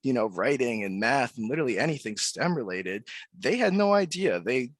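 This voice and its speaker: A man hosting an online panel webinar, introducing guests in a relaxed, friendly way. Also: medium pitch, moderate pace, no room sound. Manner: friendly, relaxed, repeats thanks. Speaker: webinar host. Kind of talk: casual panel moderation.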